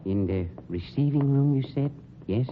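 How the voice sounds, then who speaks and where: An actor in an old-time radio crime drama playing a suave, sardonic funeral director with polished, condescending delivery. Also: male voice, mid-range voice, animated, close to an everyday voice. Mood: dry sarcasm. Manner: polished, sarcastic, condescending, deliberate diction. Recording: dull, noisy old radio recording.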